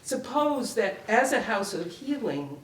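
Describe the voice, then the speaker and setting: An older woman reads a personal essay in a slow, deliberate way, her pitch moving expressively through each literary phrase. The sound is roomy, as from a microphone some way off. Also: sing-song. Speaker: writer reading her own piece. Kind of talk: dramatic reading of a personal essay. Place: library event room, live reading. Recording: roomy, distant mic, faint noise.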